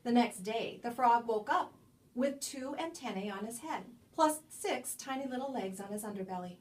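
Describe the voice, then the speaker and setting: A woman reading a children's picture book aloud as a storyteller, with expressive, emphatic delivery and dramatic pauses. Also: on the high side. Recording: distant microphone.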